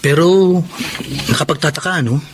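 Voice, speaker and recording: Actor as curious higher-voiced male buddy. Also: moderate pace, a little hiss or hum, natural voice. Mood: curious, encouraging. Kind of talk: Tagalog radio drama acting.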